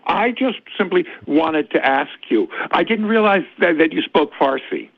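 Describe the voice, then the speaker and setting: A man calling in to a show, asking his questions slowly and deliberately. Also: fairly high pitch, lively intonation, gravelly, dry recording. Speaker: caller. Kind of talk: phone-in question. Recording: tinny dry phone line.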